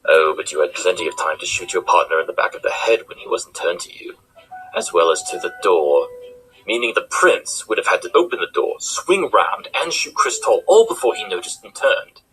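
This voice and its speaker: A man voicing a shrewd, smug cartoon pony detective with a theatrical, deliberate way of explaining things. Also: sits low, lively intonation, a bit bright, a little hiss or hum, rough recording. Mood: smug accusing. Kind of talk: fan cartoon voice acting.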